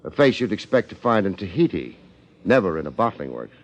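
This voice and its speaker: An actor playing a wry, hard-boiled American detective with deadpan wisecracks, in 1950s radio drama style. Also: male voice, deep voice, fairly quick, full character voice. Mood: wry, flirtatious. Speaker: detective and narrator. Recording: dull, band-limited 1950s radio recording.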